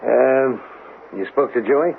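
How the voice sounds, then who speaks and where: An actor playing a skeptical, exasperated police lieutenant with a brusque manner in a 1970s radio drama. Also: male voice, medium pitch, slow, vocal fry. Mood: businesslike. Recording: tinny, noisy old radio broadcast.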